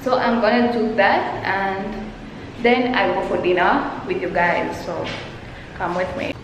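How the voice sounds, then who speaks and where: A woman's voice: mid-range voice, fairly even intonation, measured pace, reverberant, in a large hall. A young woman vlogging casually to a handheld camera held at arm's length, chatty and rambling, often restarting her phrases. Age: young adult. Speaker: travel vlogger. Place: hotel rooms, a car and outdoors. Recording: handheld camera audio with background noise.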